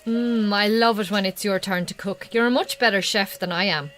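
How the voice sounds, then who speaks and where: An actress playing a suspicious, prickly Irish farmer's wife, with a sharp, sarcastic way of talking, in a naturalistic radio drama. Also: female voice, mid-range voice, normal speed, faint music behind, lightly acted. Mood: casual.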